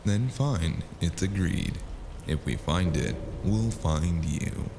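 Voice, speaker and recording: A man playing a world-weary, wisecracking noir detective with a gravelly voice and a dry, sardonic, laconic delivery in an audio drama. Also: deep voice, animated, slow pace, heavily acted. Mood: firm, menacing. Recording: noisy sound bed.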